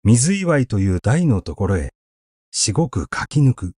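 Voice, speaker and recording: A man reading literary prose as an audiobook narrator, in a measured, deliberate storytelling delivery. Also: lowish voice, big pitch swings, darkish tone, slightly rough, no echo, studio quality. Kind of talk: literary recitation, scripted narration.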